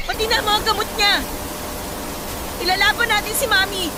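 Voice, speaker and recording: A woman's voice: extremely high pitch, flat delivery, normal speed, heavy background noise. A woman acting as a devoted, stubborn younger daughter in a Tagalog family radio drama, speaking expressively over music. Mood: defiant, upset.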